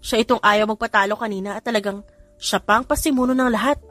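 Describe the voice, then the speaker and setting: A woman's voice: a little high, steady tone, fast, a bit bright. A woman with a Filipino accent reading a scripted dramatic story as an audiobook narrator. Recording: music bed under the voice. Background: music.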